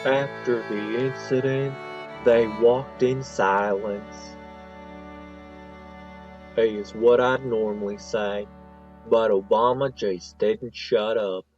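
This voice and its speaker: A man's voice: lowish voice, fairly even intonation, slow, pauses often, highs cut off. A man narrating a parody game story in a dramatic, scripted storytelling style. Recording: loud music under the voice.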